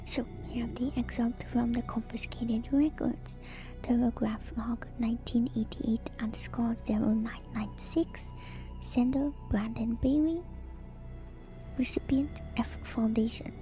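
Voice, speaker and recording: A woman doing ASMR in a breathy whisper, reading lore aloud with hushed, enthusiastic casual commentary. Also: on the high side, steady tone. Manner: hushed, slow, enthusiastic asides. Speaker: streamer and lore reader. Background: music.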